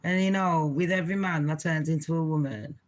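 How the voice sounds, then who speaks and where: A woman with a deep voice hosting her own channel, giving blunt, swearing, chatty commentary. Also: steady tone, a little fast. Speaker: channel host. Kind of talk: casual reaction commentary.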